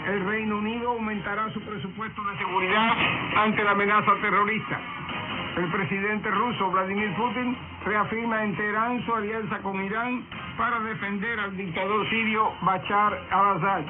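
A man's voice: sits high, level tone, normal speed. A man hosting a radio news program, addressing listeners in a scripted presenter's style. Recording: tinny shortwave radio broadcast with music behind. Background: music.

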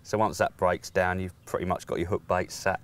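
A man in his thirties, a guest angler, explaining his tackle in a casual outdoor chat, often pausing with "um". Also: deep voice, flat delivery. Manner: hesitant, many "um"s, explains plainly. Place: lakeside outdoors, seated.

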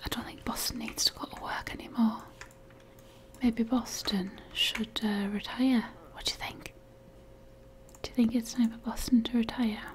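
A woman's voice: fairly high pitch, fairly even intonation, normal speed. A woman whispering playful, bossy commentary while playing a life-sim game. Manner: whispering, wry and bossy toward game characters. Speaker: gamer.

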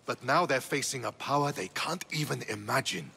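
A middle-aged Spartan general for a game cutscene. The voice is husky and gravelly with a forceful edge, solemn and measured as a proud soldier speaks. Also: male voice, mid-range voice, full character voice. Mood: solemn, urgent. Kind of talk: video game cutscene acting.

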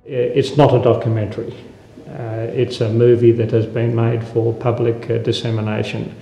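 Very creaky, monotone older Australian man. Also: mid-range voice, faint music behind. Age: 70s. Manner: formal, halting with very frequent uhs. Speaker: veteran interviewee. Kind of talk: measured interview recollection. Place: indoor room, seated. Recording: dull, echoey room, faint noise.

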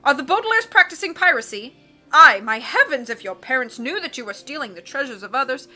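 A woman playing a booming, blustering sea captain. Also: expressive, fairly quick, bright, forceful tone, soft background music, exaggerated voice. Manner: booming, rattles off "aye"s and rhetorical questions. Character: loud blustering male sea captain, excitable.

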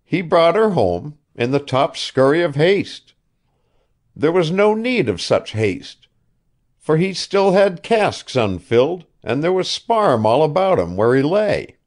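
A man's voice: fairly high pitch, a little mellow, voice pushed up, exaggerated voice. A man voicing a harsh old ship owner. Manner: harsh, impatient, clipped. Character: shrewd older male whaling ship owner, curt, evasive. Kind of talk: audiobook character reading.

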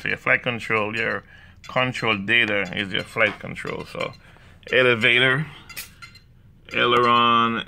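A man with a Jamaican accent, talking through drone setup software in a hesitant way, full of fillers and restarts. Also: fairly low pitch, gravelly, a little hiss or hum. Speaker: hobbyist demonstrating drone software. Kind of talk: casual screen walkthrough. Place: indoors, room with a laptop.

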